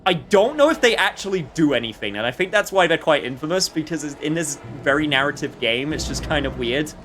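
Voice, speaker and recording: A man's voice: on the high side, expressive, a little fast, fairly bright tone, slight background noise. A man livestreaming a video game with casual commentary, chatting to viewers in a rambling way with jokey asides.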